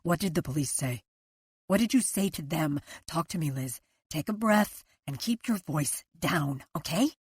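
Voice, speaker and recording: A tense, controlling husband, as a woman narrator puts him on, speaking in clipped, hushed orders. The read is close and dry, kept level and firm. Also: deep voice, animated, measured pace, slightly rough, no echo, heavily acted. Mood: stern. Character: controlling, tense husband hushing his wife. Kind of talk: audiobook character voice.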